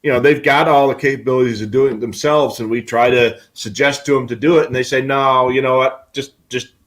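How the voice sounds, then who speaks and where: A man's voice: mid-range voice, fairly even intonation, fairly quick, fairly bright tone. A man co-hosting a live hobby show, explaining products in a casual, conversational way. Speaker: co-host of a live radio scanner show.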